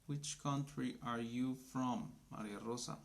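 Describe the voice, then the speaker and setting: A man's voice: medium pitch, steady tone, gravelly. A man in his thirties from Colombia, teaching a live online English class for beginners, speaking simply and greeting viewers by name. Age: 30s. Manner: slow, simple speech for beginners.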